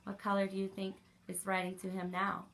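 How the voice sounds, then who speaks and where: A woman's voice: mid-range voice, monotone, fairly quick, fairly bright tone. A woman leading an interactive children's story time, asking questions and encouraging young listeners as she reads. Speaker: library story time reader.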